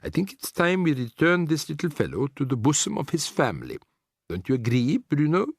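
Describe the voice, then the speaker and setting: A man voicing a calm elderly grandmother who speaks softly and slowly in a storybook reading. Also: on the high side, gravelly, husky, no echo, studio quality, pitched above the performer's own voice, heavily acted. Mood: tender. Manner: soft, tender, unhurried.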